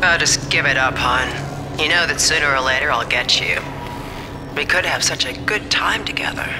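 A woman's voice: low-pitched, moderate pace, noisy background, natural voice. A woman playing a predatory, taunting mercenary ship captain in a video game, commanding and mock-sweet. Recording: very loud music bed.

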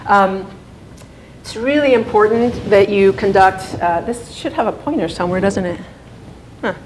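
A woman's voice: mid-range voice, moderate pace. A woman in her thirties, raised in Spain and Spanish-speaking from birth, giving a conference presentation in a chatty way with frequent fillers and restarts. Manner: conversational, many fillers and restarts. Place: large conference room. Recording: echoey conference room, faint hum.

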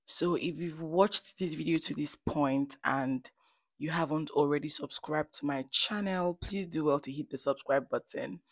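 A woman's voice: sits low, moderate pace, no room sound, studio quality. A woman with a Nigerian Igbo accent giving a chatty beauty tutorial as a vlogger, playful and emphatic as she addresses viewers directly. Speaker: hair stylist and beauty vlogger.

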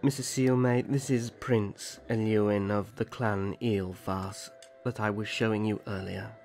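A man playing a gentle, courtly young elf prince in a roleplay audio drama, speaking formally and graciously. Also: on the low side, fairly even intonation, faint music behind. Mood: formal, polite. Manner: formal, gracious, measured storytelling.

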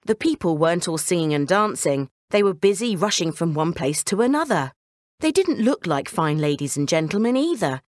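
Woman narrator for children's bedtime stories: expressive, animated pitch, dry sound. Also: normal speed, no echo. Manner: expressive storytelling. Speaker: storyteller.

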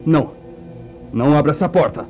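An actor playing a secretive, easily exasperated mad scientist in a comic radio play, stammering and repeating himself. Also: male voice, fairly high pitch, brisk, loud music behind the voice, lightly acted. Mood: evasive, flustered. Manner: stammering evasively, repeating words.

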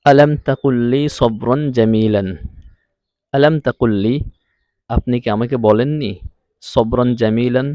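A man's voice: medium pitch, fairly even intonation, warm tone, no echo. Dry-sounding male language teacher. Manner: didactic, repeats phrases deliberately. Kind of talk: scripted lesson, phrase-by-phrase translation. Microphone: close, dry voice-over recording.

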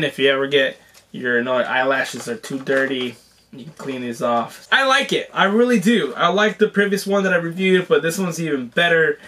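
A hoarse young man casually reviewing a VR headset at home, exclaiming through gameplay. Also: on the high side. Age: young adult. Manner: excited exclamations, chatty. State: hoarse from being sick. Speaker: tech reviewer and VR gamer. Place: small home room with shelves, indoors.

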